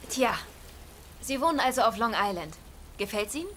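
A woman's voice: a little high, animated, normal speed, lightly acted. An actress playing a chatty younger woman breezily dodging chores in a German sitcom dub. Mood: breezy. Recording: very noisy, crowd-like background.

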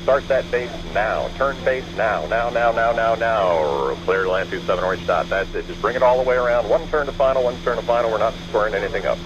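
A man's voice: fairly low pitch, fairly quick, hardly any pauses. A man working as a tower controller, urging pilots with insistent, repeated short commands over aviation radio. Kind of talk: urgent radio landing instructions. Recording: muffled aviation radio, engine hum. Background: small airplane engine hum.

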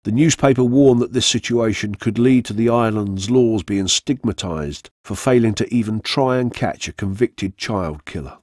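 A man reading a scripted true-crime story for radio in a measured, formal way. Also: fairly low pitch, a little mellow, no room sound, clean studio sound. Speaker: narrator. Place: radio studio, dry sound.

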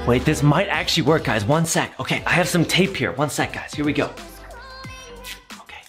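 A man, giving exaggerated, excitable gameplay commentary to viewers and often repeating his phrases. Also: a little high, moderate pace, echoey room, over music. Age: 20s. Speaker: gaming video host.

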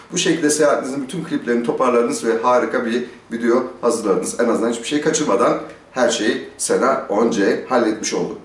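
Middle-aged man, echoey and off-mic. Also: mid-range voice. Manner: conversational, asks and answers own questions. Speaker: motorcycle gear reviewer, host. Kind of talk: casual explanatory product review to camera. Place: small stone-walled outdoor courtyard. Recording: roomy echo, distant microphone.

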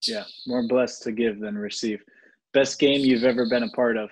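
Male interviewer, twenties, husky mid-range voice, informal and encouraging, on a video call. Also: medium pitch, steady tone, moderate pace, low-quality recording. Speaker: interviewer, host. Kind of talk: casual chat, interviewing. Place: indoor room at home.

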